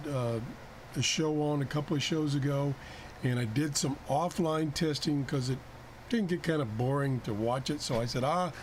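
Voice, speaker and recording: A man with a slightly high voice presents a casual product test report. He speaks like a plain-spoken, folksy show host. There is some faint noise in the recording. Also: darkish tone. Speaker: host of a radio gadget review show. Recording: clearly noisy home recording.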